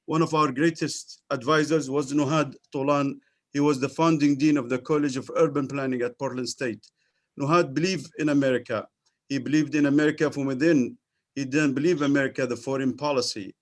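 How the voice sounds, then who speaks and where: Earnest man giving a community speech. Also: on the high side. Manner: earnest, repetitive oratory with rhetorical lists. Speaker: community organizer and host. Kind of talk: formal welcoming speech.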